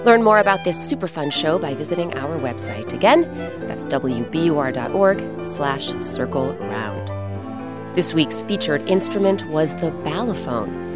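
A woman hosting and narrating a children's story in an expressive, scripted style with dramatic pauses. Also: deep voice. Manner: clear, animated, dramatic pauses. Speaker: host and narrator. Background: instrumental music.

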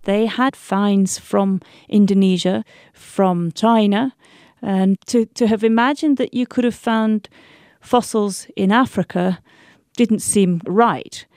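A woman's voice: medium pitch, slow, darkish tone, dry recording, studio quality. A woman paleontologist being interviewed, explaining in a thoughtful way. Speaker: paleontologist guest.

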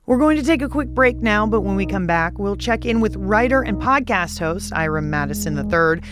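Brisk upbeat woman radio host. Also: mid-range voice, lively intonation, music in the background. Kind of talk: scripted radio hosting.

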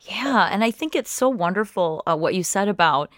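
A woman's voice: medium pitch, lively intonation, moderate pace, fairly bright tone, no room sound. A woman hosting a radio show, speaking in a conversational interview style.

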